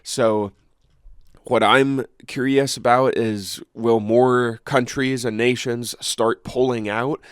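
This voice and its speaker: A man's voice: mid-range voice, steady tone, slow pace, clear, resonant tone, no room sound, studio quality. A congested man hosting his own channel, reading news articles aloud and then chatting casually with fillers and restarts. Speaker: channel host.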